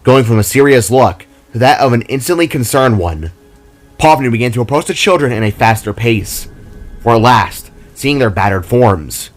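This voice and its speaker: A man reading scripted fantasy narration in a measured, dramatic storytelling manner. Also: mid-range voice, expressive.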